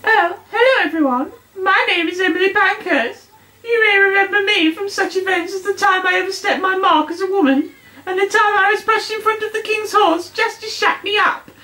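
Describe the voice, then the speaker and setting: A very high, bright voice for a sardonic suffragette puppet acted by a woman. She chats in a mock-genteel, theatrical way, like a self-declared bimbo in a comic puppet show, recorded some way from the mic. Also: normal speed, recorded from a distance, poor audio. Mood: chirpy, sardonic.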